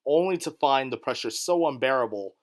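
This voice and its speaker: A man's voice: medium pitch, lively intonation, fairly quick. A man narrating a scripted radio drama in a theatrical, tongue-in-cheek manner.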